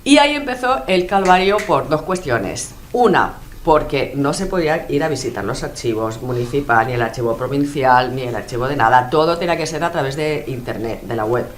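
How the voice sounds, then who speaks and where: A woman's voice: rumbling low, expressive, a little fast, few pauses, a little hiss or hum. A woman from Spain with a Madrid accent, a journalist and filmmaker, speaking thoughtfully as a radio interview guest with frequent hesitations. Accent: Madrid Spanish. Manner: thoughtful, hesitant with many fillers.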